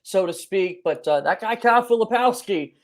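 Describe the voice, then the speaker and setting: A man's voice: high voice, lively intonation, normal speed. An enthusiastic man hosting a sports podcast and interviewing his guest in a conversational way. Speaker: podcast host.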